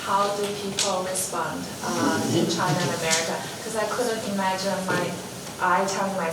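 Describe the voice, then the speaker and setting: A woman's voice: lowish voice, fairly even intonation, normal speed. A woman in the audience asking a hesitant question. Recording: distant in echoey hall, loud noise.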